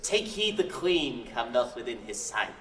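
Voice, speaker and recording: A man's voice: medium pitch, big pitch swings, measured pace, a bit bright, roomy sound, long reverb tail, recorded from a distance. A man playing a challenging, gossipy woodland sprite, declaiming verse in a Shakespearean audio drama. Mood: ominous.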